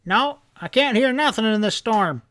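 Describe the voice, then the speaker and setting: Man doing a loud grumbling old grandpa. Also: high voice, animated, moderate pace, voice pushed up. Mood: straining, loud. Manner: shouting, grumbling.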